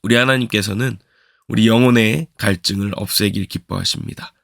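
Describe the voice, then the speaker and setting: Hoarse gravelly-voiced man preaching. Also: fairly low pitch, fairly bright tone, dry recording, clean studio sound. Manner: slow, earnest preaching with repeated reflective questions. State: hoarse from a cold. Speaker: pastor. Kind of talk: daily devotional sermon.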